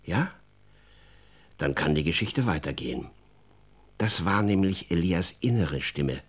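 A man's voice: deep voice, animated, measured pace, husky. A man narrating a scripted children's story, addressing his young listeners directly as a storyteller.

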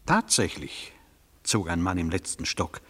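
Stern judge for radio drama: slow, low, husky, drily ironic, ringing in a big reverberant hall. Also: male voice, big pitch swings, a bit bright, full character voice. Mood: sardonic. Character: stern, sardonic courtroom judge. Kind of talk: radio play acting. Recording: long echo of a large hall.

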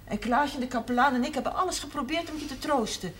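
A woman's voice: high-pitched, fast, lightly acted. An actress playing a hurt, clinging older mother in a television drama, speaking plaintively and with reproach. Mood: reproachful. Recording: faintly noisy old television soundtrack.

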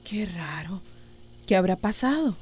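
A woman's voice: mid-range voice, expressive, measured pace, darkish tone, lightly acted. An actress playing an earnest, worried village mother and health worker in a radio soap opera. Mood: concerned. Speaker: actor in a radio drama.

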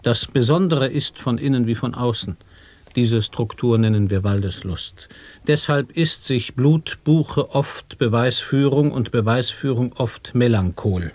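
An actor in a radio play reciting nonsense word play in a deliberate, pondering way. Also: male voice, mid-range voice, a little mellow, dry recording, close to an everyday voice. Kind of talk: reciting sound poetry.

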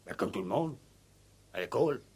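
An actor playing a simple, stubborn village farmhand in a radio play, defensive and blunt, with hesitant, ungrammatical country speech. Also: male voice, medium pitch, animated, moderate pace, a little mellow, slightly rough. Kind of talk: 1960s French radio play acting.

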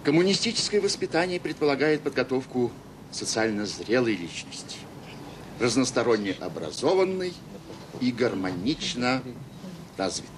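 A man's voice: sing-song, normal speed, natural voice. A man acting in an audio drama as a self-assured, sarcastic education official with an oratorical, condescending manner. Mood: oratorical, earnest. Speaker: conference speaker. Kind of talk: radio drama acting, formal speech. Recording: noisy 1980s audio play with rumble.

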